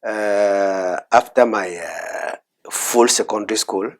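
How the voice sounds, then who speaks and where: A middle-aged man from Cameroon, a guest in an interview, talking in a measured, soft-spoken way with frequent hesitations. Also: on the low side. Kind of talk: interview conversation.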